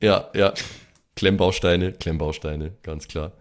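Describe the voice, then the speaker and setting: A man's voice: deep voice, fairly even intonation, takes it slowly. A man chatting casually as a player in an improvised tabletop game. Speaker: player in tabletop audio play.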